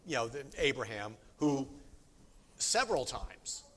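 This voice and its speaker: A man with a mid-pitched voice co-hosts a casual discussion. He speaks conversationally, and long hall reverb surrounds his voice. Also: very animated, a little slow, pushed and bright, slightly rough. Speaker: co-host in discussion with a pastor.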